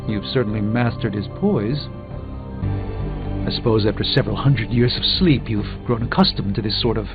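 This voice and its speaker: An actor playing a middle-aged, erudite sorcerer mentor in a video game, speaking with formal, grandiose eloquence and dry wit. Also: male voice, mid-range voice, narrow, tinny audio, close to an everyday voice. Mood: wry teasing. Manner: theatrical, eloquent, formal, wry. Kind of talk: video game character dialogue. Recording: game dialogue over prominent music.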